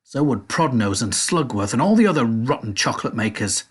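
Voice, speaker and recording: A man voicing an excitable, eccentric male chocolate-factory owner who shouts bossy orders with glee. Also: mid-range voice, fairly quick, husky. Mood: proud.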